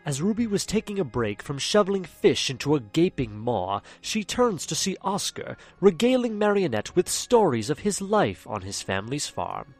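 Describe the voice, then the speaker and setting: A man's voice: fairly high pitch, very animated, normal speed, hardly any pauses, a little mellow, dry recording, soft background music. A man narrating a fan audio drama in a hammy, theatrical way, speaking straight to the audience. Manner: hammy, fourth-wall-breaking storyteller.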